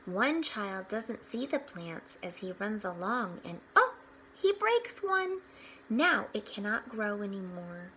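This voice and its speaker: A woman reading a picture book aloud to children, expressively, pausing for explanatory asides. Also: medium pitch, slight background noise, poor audio. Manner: expressive, with hushed asides pointing things out.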